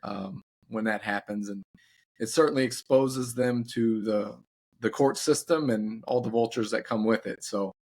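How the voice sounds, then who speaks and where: A man of forty giving advice in a casual podcast discussion, plain-spoken and measured with some halting phrasing. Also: lowish voice, darkish tone, breathy edge.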